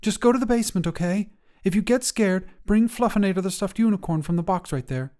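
A small, earnest girl pleads in a high, hushed voice, as a man narrating a story puts her on. She sounds anxious and urgent, leaning in to be heard. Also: brisk, close to an everyday voice.

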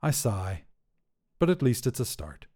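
A man's voice: medium pitch, big pitch swings, unhurried, heavy background noise. A man narrating scripted first-person short fiction for a podcast.

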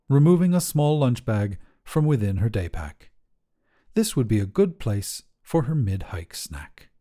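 Man, short story narration, mellow and relaxed timbre, animated pitch movement. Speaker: fiction podcast narrator.

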